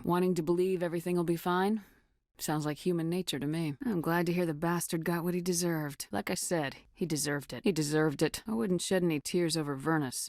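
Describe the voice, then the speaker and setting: A woman's voice: fairly low pitch, a little mellow, no echo, clean studio sound, close to an everyday voice. A woman playing a confident female military starship commander in a video game, with assured, clipped, dryly teasing authority. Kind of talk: scripted video game dialogue.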